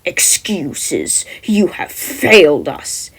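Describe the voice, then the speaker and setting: A woman voicing a menacing male villain with a slow, commanding delivery. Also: on the low side, big pitch swings, creaky, slightly rough, exaggerated voice. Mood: contemptuous.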